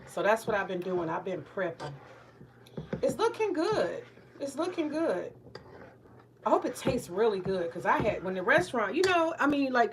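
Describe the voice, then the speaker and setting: Forties woman, retired teacher type, loose swearing cooking-vlog chatter, light hiss behind. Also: mid-range voice. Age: 40s. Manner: candid, joking, swears freely, talks to viewers. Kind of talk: casual cooking vlog chat. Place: home kitchen.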